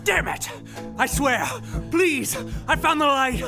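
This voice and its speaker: An actor playing a whiny, cowardly cornered villain in a live comedy musical. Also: male voice, very high-pitched, expressive, takes it slowly, vocal fry, over music, heavily acted. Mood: pleading. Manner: pleading, whiny.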